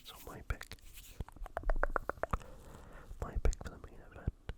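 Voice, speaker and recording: Whispering ASMR host. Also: slow. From United Kingdom. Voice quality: whispered, unvoiced. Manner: casual, conversational. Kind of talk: ASMR fight preview commentary.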